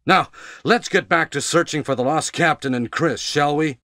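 A man's voice: a little high, normal speed, bright, forceful tone. Protective policeman, voice echoing. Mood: steady, instructive. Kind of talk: stilted 1990s video game voice acting. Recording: reverberant, echoing hall sound.

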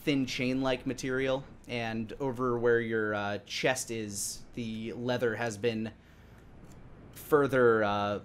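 A man narrating a tabletop roleplaying game as game master, improvising descriptions in a halting, detailed way. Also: medium pitch, steady tone. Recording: clearly audible background noise.

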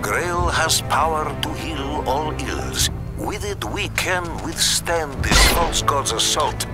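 Casting: bookish, solemnly sincere cleric for a game cutscene, article-dropping English, lively pitch. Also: male voice, slow, loud music behind the voice. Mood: earnest, explanatory. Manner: earnest, drops articles in broken English. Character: earnest scholarly male priest, easily bewildered. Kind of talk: video game cutscene acting.

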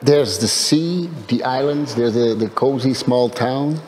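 A man's voice: medium pitch, measured pace, a little mellow. A middle-aged man hosting a festival interview, asking playful, complimentary questions. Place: festival press area, large hall. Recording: hall reverb, faint background noise.

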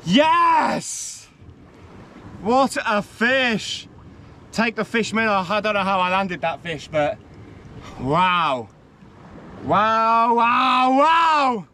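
A man excitedly commenting on his own catch while fishing from a shoreline, exclaiming and swearing as he goes. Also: high voice, extremely slow, long pauses. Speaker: angler filming himself. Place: rocky seashore outdoors. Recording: wind and waves at a shoreline. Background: wind and sea ambience.

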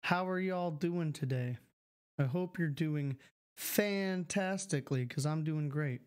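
A man with a fairly high voice chatting his way through a live game stream at home. He reads chat messages aloud and answers them in an easygoing way, heard on a close studio mic. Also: slow pace. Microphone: studio mic with filters.

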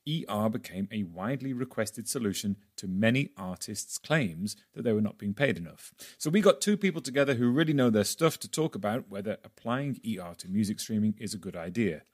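A man's voice: fairly low pitch, animated, a little fast, few pauses. A man hosting a podcast with a wry, playful manner, moving between scripted introduction and chatty interviewing. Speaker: podcast host and editor.

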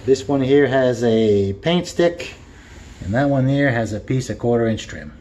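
A man's voice: mid-range voice, animated, measured pace, darkish tone. A man giving a casual, rambling walkthrough of his kitchen renovation with lots of fillers, recorded on a handheld phone in the kitchen. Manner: rambling, many fillers and restarts. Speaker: home renovation vlogger. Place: kitchen under renovation, indoors. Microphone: handheld phone camera.

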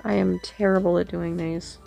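A woman's voice: lowish voice, level tone, normal speed, vocal fry, mellow, faint music behind. A young woman chatting casually through a makeup routine, her voice a little sore, self-deprecating as she works. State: slightly sore voice. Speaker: makeup vlogger. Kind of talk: casual get-ready-with-me chat. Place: small bedroom with red curtain backdrop. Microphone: small desk mic on boom arm close up.

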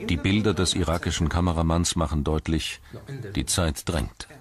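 A man reading scripted documentary narration in a measured, articulate storytelling manner. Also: rumbling low, steady tone. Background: music.

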